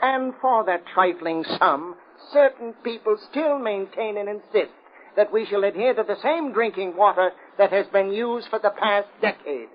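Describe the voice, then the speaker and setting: A man's voice: high voice, normal speed, slight background noise, close to an everyday voice. A man acting a smug, sneering crooked official who orates pompously in an old radio drama. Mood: oratorical. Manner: declamatory, pompous. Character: smug, sneering crooked city official and rabble-rousing orator. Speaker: actor. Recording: tinny, narrow-band old radio recording.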